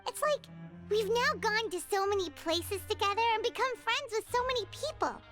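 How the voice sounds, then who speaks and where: Squeaky, very high childlike fairy companion. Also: female voice, expressive, normal speed, loud music behind the voice. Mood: earnest. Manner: speaks of self in third person. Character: small childlike floating fairy companion, cheery and excitable. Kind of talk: video game voice acting.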